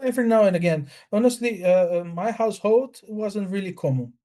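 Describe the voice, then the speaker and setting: A man with a notably high voice and an Italian accent, chatting casually as a native speaker of Italian and Portuguese. He talks in a halting, repetitive way and is blunt about it. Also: expressive, mellow. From Brazil. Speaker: language learner. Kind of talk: casual chat.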